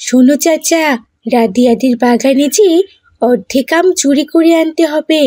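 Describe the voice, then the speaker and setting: A woman's voice: high voice, moderate pace, mellow, dry recording, lightly acted. A woman voicing a mischievous, scheming young village girl in a cartoon, with a wheedling, bossy way of talking.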